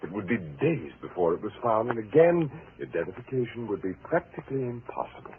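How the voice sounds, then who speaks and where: A man's voice: lowish voice, expressive, natural voice. Guy doing creepy bitter husband in 40s radio mystery, dramatic swoops in the voice, tinny and hissy. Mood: cool, calculating. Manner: deliberate, theatrical, mock-polite. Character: bitter, obsessed, menacing husband, coolly taunting. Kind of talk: 1940s radio thriller acting.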